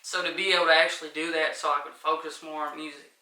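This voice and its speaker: A man's voice: a little high, level tone, quick pace, bright, forceful tone, roomy sound, distant mic, rough recording. A man, a rapper, talking loosely in a casual interview, with a light voice and lots of fillers. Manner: loose, rambling, many fillers.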